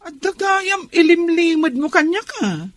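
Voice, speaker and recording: High-pitched, chirpy married friend. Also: female voice, very animated, a little slow, no room sound, heavily acted. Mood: contented. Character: chirpy, contented married female friend and coworker. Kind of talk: radio drama acting.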